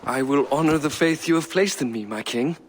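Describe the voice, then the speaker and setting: A man voices a diplomatic king who argues calmly for talks. His pitch sits a little high and his speech is persuasive. The voice rings in a long reverb. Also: fairly quick, lightly acted.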